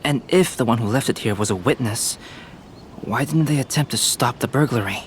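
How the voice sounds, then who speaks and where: A man playing a composed, courteous young artist-scholar in a video game, speaking in measured, formal and precise phrases. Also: mid-range voice, a little hiss or hum, close to an everyday voice. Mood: pensive. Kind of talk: video game character acting.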